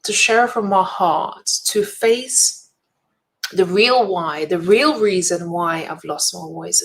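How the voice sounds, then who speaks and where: A woman's voice: fairly low pitch, rough recording. A woman giving an earnest, inspirational talk straight to her viewers, a speaker and event host who leans on emphatic repetition. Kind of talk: motivational direct-to-camera talk.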